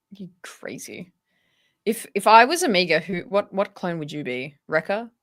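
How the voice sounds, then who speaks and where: A woman's voice: fairly low pitch, big pitch swings, unhurried, a bit bright. An Australian woman co-hosting a casual livestream, talking in a slangy, teasing and enthusiastic way. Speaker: livestream host.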